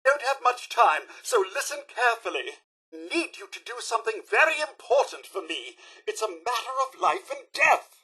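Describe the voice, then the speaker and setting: A man's voice: very high-pitched, sing-song, normal speed, sharp tone, breathy edge, exaggerated voice. A man playing an anxious, polite robot butler in a video game, with a British accent, a robotic effect and a fussy, melodramatic, formal manner. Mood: anxious, pleading. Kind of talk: video game character voice lines.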